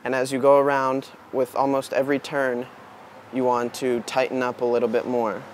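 A man's voice: mid-range voice, normal speed. A man in his twenties giving a casual, unscripted how-to demonstration while working with his hands. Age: 20s. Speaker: DIY tutorial presenter. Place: outdoors on a wooden porch. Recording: outdoor camera audio with steady background noise.

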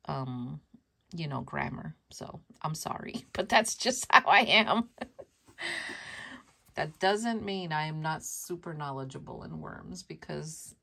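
A woman's voice: sits low, lively intonation, slow, gravelly, fairly bright tone. A woman whose first language is Spanish, hosting a casual worm composting vlog at home, chatty and self-laughing, repeating her words as she shows her bin. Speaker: worm composting channel host. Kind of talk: casual show-and-tell vlog.

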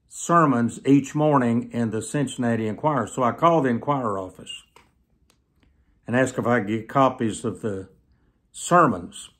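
An elderly man speaks as a preacher, telling stories in an earnest way. His voice sits at a middling male pitch. He stretches key phrases for emphasis as he addresses the camera. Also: a bit bright. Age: 70s. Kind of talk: sermon storytelling told to camera. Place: small plain indoor room, seated.

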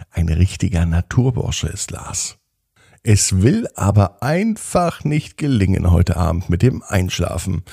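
A man hosts a children's podcast, narrating a bedtime story expressively with drawn-out phrases. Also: low voice, vocal fry, mellow, husky, dry recording, clean studio sound.

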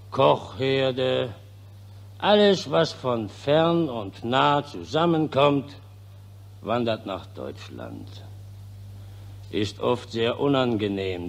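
Smug, unhurried, low-voiced soldier. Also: male voice, vocal fry, full character voice. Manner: gloating, self-satisfied. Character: smug, callous German rear-echelon soldier, leering and boastful. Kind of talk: 1940s radio acting. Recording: 1940s radio play recording.

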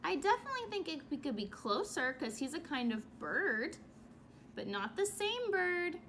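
A young woman teacher reading a children's book aloud and asking questions in an expressive, instructive way. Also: high voice, moderate pace, slight background noise. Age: young adult. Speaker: elementary school teacher. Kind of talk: children's read-aloud lesson. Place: small room at home.

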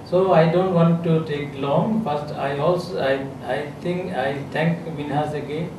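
A man's voice: a little high, steady tone, measured pace. A middle-aged man with a Bangladeshi English accent, a health official giving unscripted panel remarks at a podium, hesitant, with many fillers and restarts. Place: conference room with a seated audience. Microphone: podium microphone. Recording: echoey room, voice from a distance, clear background noise.

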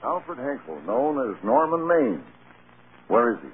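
An actor playing a grave, reproving court judge with a clipped, formal delivery in 1930s radio style. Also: male voice, medium pitch, sing-song, unhurried. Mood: stern. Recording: scratchy, tinny 1930s radio broadcast.

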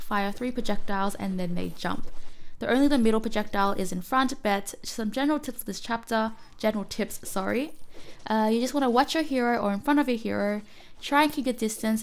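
A woman with a cough-roughened voice narrating a mobile game guide, explaining enemy patterns and often correcting herself. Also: medium pitch. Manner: explanatory, self-correcting, apologetic. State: hoarse from a cough. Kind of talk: gameplay guide voice-over.

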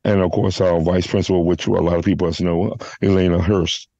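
A man hosting a church podcast, hoarse from a flu, making announcements and leading a prayer with halting restarts. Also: low-pitched, little pitch movement, dark tone, no echo, lo-fi sound. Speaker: podcast host. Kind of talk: casual church podcast chat.

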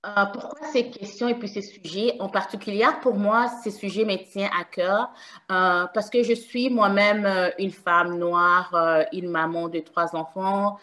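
A woman's voice: mid-range voice, steady tone, a little slow, fairly bright tone. A woman from Congo, a mother of three, hosting a panel over a video call, speaking with many hesitant fillers. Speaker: panel host. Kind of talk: hesitant moderated panel talk.

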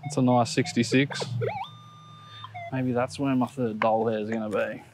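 Excitable Australian man detecting outdoors. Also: mid-range voice, normal speed, a little hiss or hum. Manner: excitable, laughs at his own finds, chatty asides to viewers. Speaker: metal detecting vlogger. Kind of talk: casual commentary while detecting. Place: outdoors on a grassy park lawn. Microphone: action camera on the body or set on the ground.

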